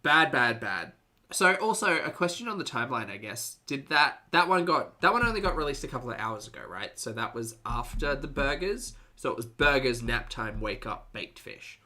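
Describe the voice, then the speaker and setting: A man's voice: medium pitch, sing-song, moderate pace, pushed and bright. A man hosting a reaction stream, chatting casually to his live audience with dry, teasing sarcasm. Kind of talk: casual live commentary to a chat.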